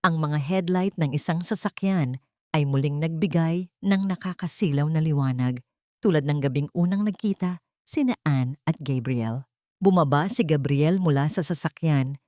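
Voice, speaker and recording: A woman narrating a scripted dramatic story in a measured storytelling manner. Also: low-pitched, dark tone, dry recording, studio quality.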